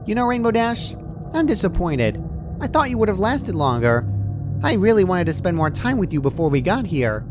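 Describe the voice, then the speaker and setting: A man's voice: high voice, very animated, normal speed, noisy background, voice pushed up. A man voicing a gleeful, sadistic female cartoon pony in a horror fan story, jovial and joking with mock sweetness. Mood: mock regret. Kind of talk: horror fan fiction character voice.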